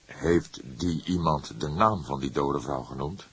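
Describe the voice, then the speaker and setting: A man voicing an old, shrewd police detective, measured and stern, in an audiobook. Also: a bass voice, a bit bright, husky, exaggerated voice. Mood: questioning.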